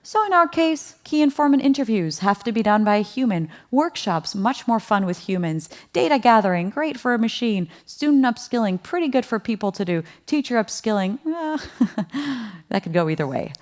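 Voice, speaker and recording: A woman from the Netherlands giving a conference keynote, talking in a lively, conversational way, asking the audience questions and laughing lightly between points. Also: fairly high pitch, moderate pace. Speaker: keynote speaker.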